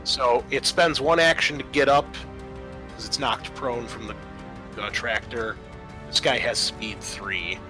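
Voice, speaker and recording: A man's voice: medium pitch, unhurried, music in the background. A man narrating a tabletop horror roleplaying game as game master, casual and prone to swearing.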